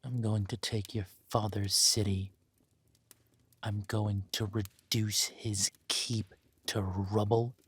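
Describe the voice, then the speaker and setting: A man playing a menacing, possessive villain warlord and protective lover in a scripted roleplay audio drama, speaking deliberately and commandingly, dropping into breathy murmurs. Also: on the low side, little pitch movement, no echo.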